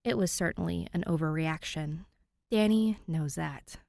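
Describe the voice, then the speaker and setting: A woman's voice: low voice, a little slow, vocal fry, a little mellow, dry recording. A woman hosting and narrating fan fiction, chatting to listeners and reading expressively. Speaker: podfic reader and channel host.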